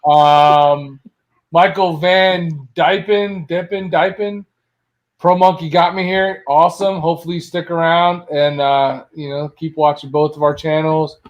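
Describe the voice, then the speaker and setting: Higher-voiced man hosting a casual stream. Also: fairly even intonation, unhurried, muffled recording. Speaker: live stream host. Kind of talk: casual live chat, reading viewer comments.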